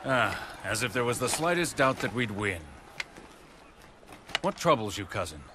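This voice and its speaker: An actor playing a blunt, loyal and confident warrior in a video game cutscene, with a gruff manner. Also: male voice, medium pitch, very animated, normal speed, noisy background, natural voice. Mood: confident, dismissive.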